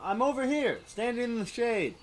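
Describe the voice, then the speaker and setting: A man's voice: very high-pitched, animated, moderate pace. A man playing a grumbling, sarcastic sidekick in a comedy skit, with a dry, complaining delivery. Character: grumbling, sunburned, sarcastic male sidekick avoiding sun. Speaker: comic sidekick.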